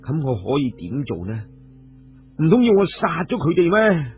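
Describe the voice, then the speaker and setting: A man's voice: a little high, big pitch swings, moderate pace, husky, heavily acted. A man voicing a grief-stricken, resolute hero in a Cantonese storybook tale, sombre and subdued. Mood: lost, bleak. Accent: Hong Kong Cantonese. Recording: tinny radio-like sound with music behind.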